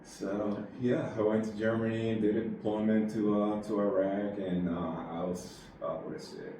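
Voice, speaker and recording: A man in his forties from Puerto Rico, an army veteran telling his story in a relaxed interview, halting and full of fillers. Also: fairly low pitch, very echoey, recorded from a distance, background noise. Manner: rambling, halting, many fillers.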